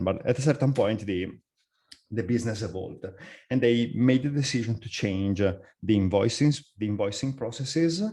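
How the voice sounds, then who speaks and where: A middle-aged man with an Italian accent, presenting a live software webinar with frequent fillers and restarts. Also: fairly low pitch. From Italy. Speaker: software architect, webinar speaker.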